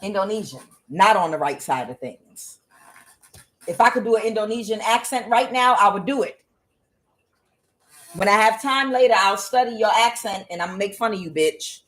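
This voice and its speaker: A woman doing a tarot reading on her own. She talks bluntly and swears often, questions the cards aloud, and fills her pauses with "uh". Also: mid-range voice, animated, a bit bright. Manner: blunt and profane, with frequent "uh" fillers. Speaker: tarot reader. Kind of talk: solo tarot reading to camera.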